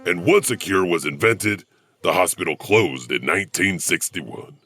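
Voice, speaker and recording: A man playing a lonely, theatrical storyteller in a horror audio drama, gravelly and halting. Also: low voice, lively intonation, bright, forceful tone, no echo, clean studio sound, exaggerated voice. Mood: wistful. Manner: theatrical, halting, chuckling between thoughts. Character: lonely, theatrical storyteller janitor who loves Shakespeare.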